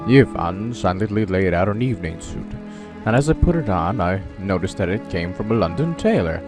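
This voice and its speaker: Radio drama lead: stranded big-game hunter, deep-ish voice, dramatic first-person storytelling over prominent music. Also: male voice, lively intonation, moderate pace. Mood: reflective. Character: shipwrecked male big-game hunter recounting his ordeal. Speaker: narrator and lead actor.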